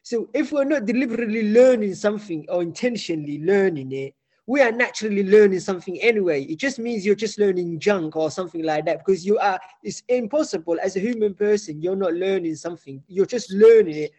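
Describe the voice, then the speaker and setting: A man's voice: high voice, lively intonation, fairly quick, a little mellow. A man hosting a casual conversation, chatty and reflective, laughing often and affirming the other speaker with constant short yeahs.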